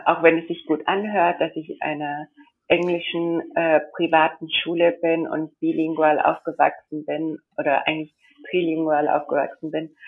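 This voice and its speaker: A young woman who grew up in India, telling her story as an interview guest in a chatty, rambling way with many fillers and frequent laughs. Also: sits low. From grew up in India, born in Germany. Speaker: interview guest, model and entrepreneur. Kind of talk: casual interview chat. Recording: tinny phone-like line.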